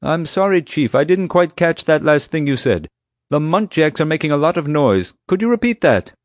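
A wry, slyly mischievous security consultant, played by a man reading an audiobook. He sounds defiant, with the pitch raised well over the narrator's own voice. The sound is dry. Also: very animated, normal speed, no room sound. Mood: mock innocent. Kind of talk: audiobook character dialogue.

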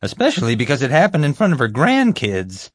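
A man voicing a bumbling, proud security guard in an audiobook, boastful, oblivious and excitable. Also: mid-range voice, fairly quick, no echo, studio quality, pitched above the performer's own voice. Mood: defensive. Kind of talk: audiobook character voice.

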